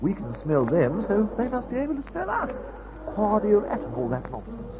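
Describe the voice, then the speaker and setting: A man's voice: sits high, wildly swooping pitch, normal speed, heavy background noise, full character voice. An actor playing a jittery but breezy upper-class English airman in an old radio adventure serial, spluttering jaunty slang. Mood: jittery, grumbling. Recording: dull lo-fi old radio recording.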